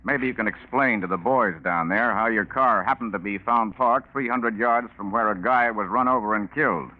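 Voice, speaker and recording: A man's voice: on the low side, natural voice. A man playing a dry, suspicious police lieutenant who probes offhandedly in a 1940s radio drama. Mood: suspicious, firm. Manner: hesitant, deliberately offhand, probing. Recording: tinny, faintly noisy 1940s radio recording.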